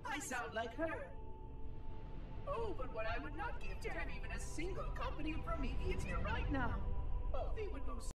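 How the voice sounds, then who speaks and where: High, echoing, fussy robot caretaker. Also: male voice, very animated, normal speed. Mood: scheming, wistful. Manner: formal, fussy, theatrical. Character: prim, aggrieved ancient machine caretaker with grand self-importance. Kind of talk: scripted game cutscene monologue. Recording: echoing, distant, under loud music.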